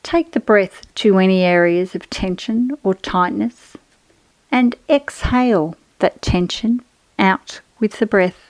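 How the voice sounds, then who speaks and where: A woman guiding a relaxation meditation in a soothing, measured, instructional manner with pauses between phrases. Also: medium pitch, a little mellow, no echo, low-quality recording. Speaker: yoga nidra guide.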